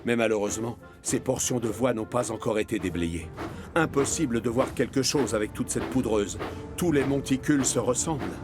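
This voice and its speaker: A man narrating a children's story from a script in an expressive storytelling manner. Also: medium pitch, normal speed, music in the background.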